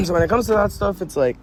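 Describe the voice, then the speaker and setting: A man's voice: high-pitched, lively intonation, a little fast, creaky, background noise. A young man from Quebec, a musician being interviewed, talking casually with many fillers and restarts. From Quebec, Canada. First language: French. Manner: rambling, lots of fillers and restarts, slangy.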